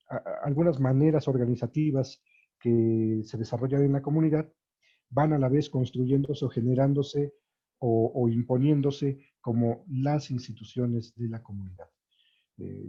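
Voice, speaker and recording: Dark, husky lecturer. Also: male voice, medium pitch, lo-fi sound. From Mexico. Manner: thinking aloud with many fillers and restarts.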